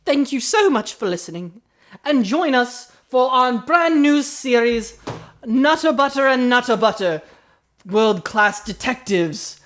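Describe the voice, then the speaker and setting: A man playing a grand radio serial announcer with drawn-out, theatrical delivery, as parody. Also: extremely high pitch, expressive, a bit bright, full character voice.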